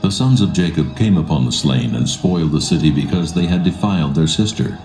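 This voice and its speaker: A man narrating scripture in a dramatised reading, solemn and measured. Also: very deep voice, animated. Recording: roomy echo, soft music behind.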